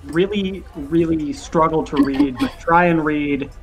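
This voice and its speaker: A man's voice: on the high side, measured pace, poor audio. A man playing a tabletop game, speaking playfully about his character. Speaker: player.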